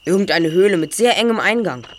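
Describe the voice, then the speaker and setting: A woman's voice: on the low side, lively intonation, quick pace, lightly acted. A woman playing a clever, confident teenage boy detective who explains precisely in an audio drama. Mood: confident, reasoning. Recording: faint noise, chirping crickets.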